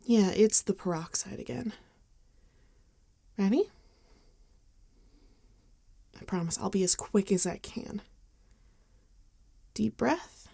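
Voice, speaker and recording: Woman acting soft, unhurried, gentle vampire woman. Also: medium pitch, vocal fry, breathy edge. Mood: tender, careful. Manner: soft-spoken, hesitant, often dropping to a whisper. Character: gentle, rueful female vampire bartender tending a wounded companion. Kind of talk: intimate ASMR roleplay acting.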